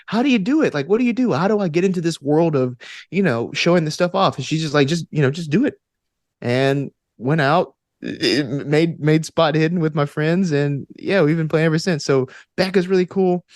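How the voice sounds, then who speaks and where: A man's voice: a little high, normal speed, dry recording, clean studio sound. An American man talking casually and enthusiastically as an interview guest, telling stories in an informal way. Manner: enthusiastic, informal, punctuated with "like" and "you know". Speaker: interview guest, actor and game master. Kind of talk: casual conversational storytelling.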